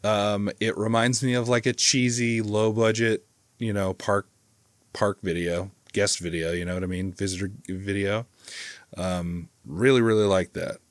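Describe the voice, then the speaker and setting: A man's voice: on the low side, fairly even intonation, measured pace, dry recording. A man giving casual, rambling and enthusiastic reaction commentary as a video host, swearing freely. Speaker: video host reviewing horror channels.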